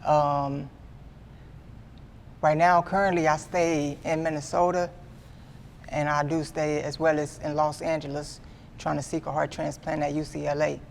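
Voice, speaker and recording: A man in his twenties giving a candid sit-down interview, earnest and halting, often repeating himself as he restarts. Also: a little high, flat delivery, vocal fry, a little hiss or hum. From Midwestern US. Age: 20s. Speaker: guest artist.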